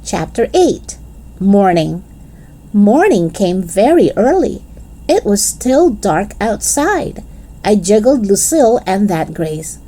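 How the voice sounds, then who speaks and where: A woman reading a children's storybook aloud in expressive scripted narration. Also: medium pitch, slow pace, low-quality recording. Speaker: children's book reader.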